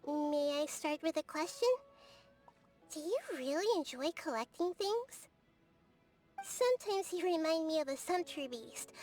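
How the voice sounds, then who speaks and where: Game role: tiny wise child goddess, extremely high voice, earnest, gently inquisitive, over music. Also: female voice. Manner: soft-spoken, thoughtful, quizzical, speaks in animal analogies. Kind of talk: video game character dialogue.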